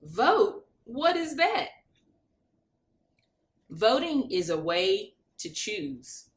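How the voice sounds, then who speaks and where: A woman's voice: medium pitch, big pitch swings, extremely slow. A woman voicing chatty pet dogs in a children's picture book, asking curious questions and explaining things simply. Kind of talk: children's storybook read-aloud.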